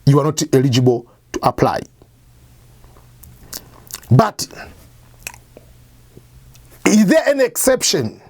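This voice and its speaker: A man's voice: fairly high pitch, big pitch swings, takes it slowly, pauses often, darkish tone, no echo. A man hosting an advice show, explaining step by step in a conversational way, asking and answering his own questions. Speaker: host of an immigration advice channel. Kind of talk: explanatory talk to camera.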